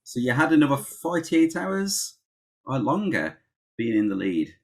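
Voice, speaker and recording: A woman interviewing a runner, asking halting questions with many restarts and encouraging murmurs. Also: extremely low pitch, sing-song, darkish tone. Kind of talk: casual interview.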